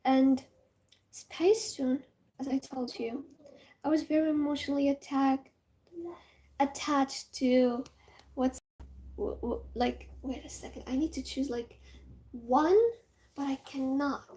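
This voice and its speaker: A young woman from Morocco with a Moroccan accent, Amazigh her first language, chatting casually and excitably, rambling with many fillers and dropping into whispers. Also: sits high, slow pace, pauses often. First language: Amazigh (Tamazight). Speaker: vlogger.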